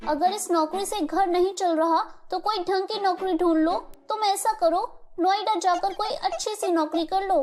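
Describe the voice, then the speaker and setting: A woman's voice: extremely high pitch, steady tone, normal speed, hardly any pauses. A woman voicing an ambitious young wife in a moral story, pointed and complaining. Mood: nagging. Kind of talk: animated Hindi moral story dialogue.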